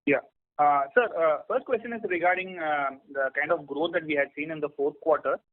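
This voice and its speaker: A man's voice: fairly high pitch, brisk. A man calling in as an analyst, politely and hesitantly asking questions on an earnings call. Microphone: telephone handset or line. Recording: phone-like call line.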